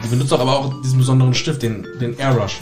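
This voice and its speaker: A man's voice: mid-range voice, steady tone, very fast, echoey room, music in the background. A man in casual, joking podcast banter.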